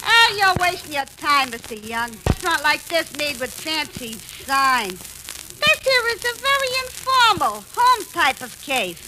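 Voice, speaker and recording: A woman's voice: sits high, very animated, a little slow. A woman playing a sassy, folksy diner owner with broad, ungrammatical speech in a 1940s radio comedy. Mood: folksy, dismissive. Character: sassy New York meatball diner owner, a gushing sailor's wife. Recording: noisy old radio recording.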